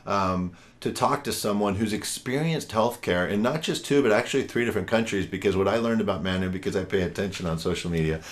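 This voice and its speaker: A man's voice: lowish voice, quick pace, few pauses. A man in his forties hosting a podcast interview, chatty and rambling, with frequent fillers. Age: 40s. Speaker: podcast host.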